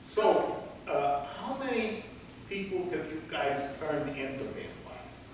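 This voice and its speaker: A man's voice: a little high, animated. A man playing a curious guard who stalls his captors with hesitant questions in a comic staged reading. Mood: curious, stalling. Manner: halting, inquisitive, hesitant phrasing. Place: large reverberant indoor performance space. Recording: echoey hall from far off, noisy.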